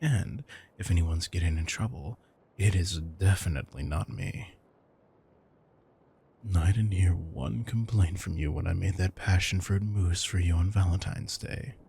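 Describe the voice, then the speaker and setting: A man playing a retired supervillain turned caring, thrifty house husband in an intimate audio roleplay, smug, dry and affectionate, with a husky, gravelly voice. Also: low-pitched, a little slow, warm tone, slight background noise. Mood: scheming, smug.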